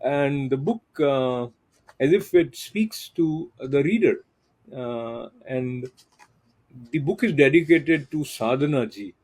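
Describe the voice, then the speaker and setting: Mellow-voiced male TV interview host. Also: medium pitch. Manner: measured, with frequent filler pauses.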